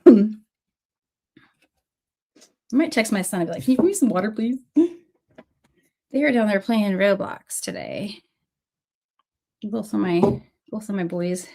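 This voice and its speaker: A woman's voice: mid-range voice, very animated, measured pace, creaky, long pauses, mellow. A woman with a cold, coughing now and then, hosting a casual livestream beading tutorial and chatting with viewers by name.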